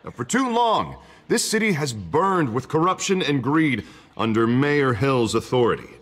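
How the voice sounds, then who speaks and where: A man's voice: mid-range voice, very animated, moderate pace, fairly bright tone. An American man acting as an upbeat, polished district attorney running for mayor in a video game. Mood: rousing, grateful. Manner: polished, jovial speechmaking. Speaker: politician campaigning for mayor. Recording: music behind the voice.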